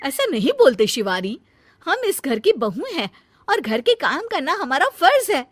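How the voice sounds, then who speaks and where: An earnest, dutiful elder daughter-in-law from a cartoon story, voiced by a woman, proper and sincere. Her voice sits fairly high, and the recording is dry and close. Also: very animated, a little slow, no room sound, soft background music. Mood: kind. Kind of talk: cartoon voice acting.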